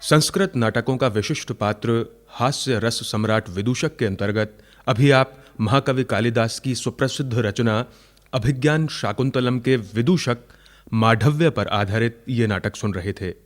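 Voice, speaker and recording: A man makes a formal, scripted radio announcement of closing credits. His pitch is average for a man, and a long reverb trails his voice. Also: a little slow.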